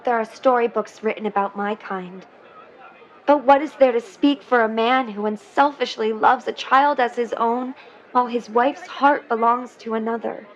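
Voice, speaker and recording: A woman's voice: on the high side, steady tone, normal speed, highs cut off, close to an everyday voice. A woman playing an earnest, dreamy young mermaid in love, in a 1950s period audio drama, with wistful, lyrical delivery. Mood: gentle, probing.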